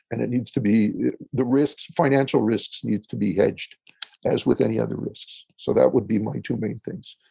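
A man from Eastern Ontario whose first language is French, answering interview questions as a consultant in a halting way, with many fillers and restarts. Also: medium pitch, gravelly, dark tone, breathy edge, no echo. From Eastern Ontario, Canada. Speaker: guest, consultant.

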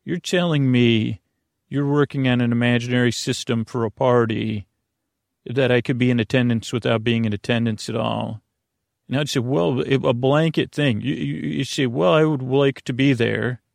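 A man hosting a bedtime podcast in a creaky, soothing voice, meandering hesitantly through tangents and interrupting himself. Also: mid-range voice, steady tone, normal speed, no echo, studio quality. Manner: soothing, lulling. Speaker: podcast host. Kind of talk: rambling bedtime monologue meant to lull to sleep.

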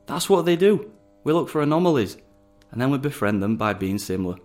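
A man's voice: medium pitch, very animated, a little fast, darkish tone, lightly acted. A man playing a smug young undercover agent, a coolly calculating psychopath with a detached, condescending manner, in an audio drama. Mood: cold, matter-of-fact. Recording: music underneath.

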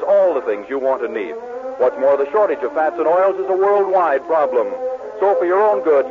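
A man's voice: fairly high pitch, narrow, tinny audio. An American man reading scripted ad copy and sign-offs as a 1940s radio show announcer, on an old band-limited broadcast.

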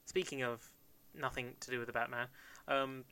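Twenties guy from kent, podcast cohost, super bright voice, rambly and jokey. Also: medium pitch, little pitch movement, moderate pace, clear, resonant tone. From Kent, England. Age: 20s. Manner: joking, rambling, laughs often. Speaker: podcast co-host. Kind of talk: casual chat.

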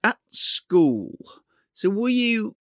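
A man's voice: sits high, sing-song, extremely slow, fairly bright tone, dry recording, clean studio sound. A man hosting an interview, asking short questions and giving brief backchannel replies. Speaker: interviewer host. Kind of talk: conversational interview.

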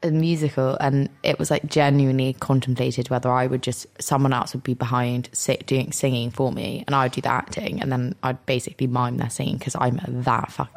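A young woman co-hosting a podcast, her voice low, nasal and gravelly with fry. She talks in a loose, rambling, self-deprecating way, like chatting with a close friend. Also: flat delivery, fairly quick, few pauses. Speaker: podcast co-host. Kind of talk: casual chat.

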